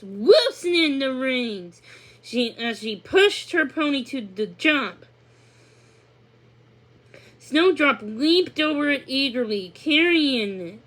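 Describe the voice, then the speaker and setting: A woman narrating a children's book aloud, reading haltingly with stumbles and repeated words. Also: high-pitched, fairly bright tone.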